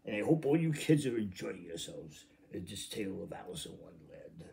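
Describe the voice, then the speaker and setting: A man in his fifties reads a children's story aloud in a low, mellow voice. His pitch swings widely and theatrically. He rambles, halts and repeats words as he goes. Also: sing-song, fairly quick, gravelly, slight background noise. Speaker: amateur storybook reader. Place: living room at home, indoors. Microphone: phone at arm's length.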